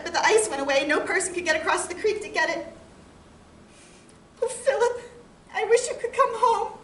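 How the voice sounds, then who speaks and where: A loving, anxious farm wife of the 1860s, played by a woman on stage, speaks with a high, earnest voice. Her phrasing is plain and old-fashioned, like heartfelt letters delivered as a theatrical monologue. The recording is roomy and distant, with some background noise. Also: a bit bright. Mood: troubled, longing.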